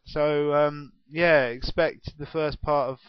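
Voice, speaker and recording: A man's voice: fairly high pitch, steady tone, slow, clear, resonant tone, no room sound. A young man in his twenties with a well-spoken southern English accent, chatting casually through a vlog Q&A in a self-mocking way and laughing often. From England. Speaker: gaming YouTuber. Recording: dull, dry home recording.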